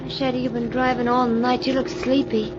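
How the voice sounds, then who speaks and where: Actress as greedy, nervous wife and accomplice, high-pitched, hesitant, old radio drama, dull noisy sound. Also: female voice, level tone, a little fast, natural voice. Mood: worried. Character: anxious, greedy wife turned crook's accomplice. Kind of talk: old-time radio crime drama acting.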